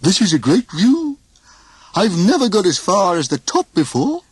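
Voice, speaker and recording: A high, goofy cartoon mountain guide. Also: male voice, huge pitch swings, moderate pace, slightly rough, no room sound, heavily acted. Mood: amazed. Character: cheerful, dim-witted male cartoon mountain guide, overconfident.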